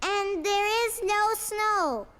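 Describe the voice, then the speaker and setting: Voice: very high voice, drawn-out words, bright, forceful tone, full character voice. A performer voicing an eager but shy preschool boy in a children's cartoon. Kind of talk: scripted children's cartoon acting. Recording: faint music behind.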